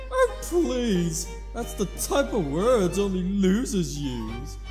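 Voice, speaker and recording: A woman voicing a snobbish, sneering rich unicorn pony with a dismissive drawl in a cartoon fan drama. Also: medium pitch. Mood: scornful. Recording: long reverb with music behind.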